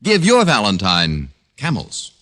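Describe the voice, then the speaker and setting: A man's voice: on the low side, huge pitch swings, unhurried, fairly bright tone. A man announcing and reading ads in a polished, punchy 1940s radio style. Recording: vintage radio broadcast with music.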